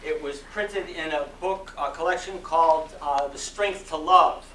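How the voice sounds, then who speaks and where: A man giving a dramatic sermon reading to a live audience, with deliberate, oratorical phrasing. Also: a little high, fairly bright tone. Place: community center hall. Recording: roomy echo, distant mic, faint noise.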